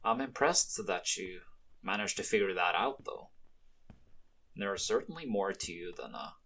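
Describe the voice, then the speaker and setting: A man playing a guarded, weary, quietly kind young mafia henchman in an ASMR roleplay, speaking low-key and hesitantly to the listener. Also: animated, moderate pace, full character voice. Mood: somber, confiding.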